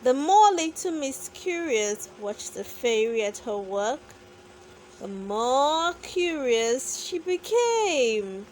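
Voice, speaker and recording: Slow, high-voiced woman reading a bedtime story. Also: very animated, darkish tone, slight background noise. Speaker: children's book reader.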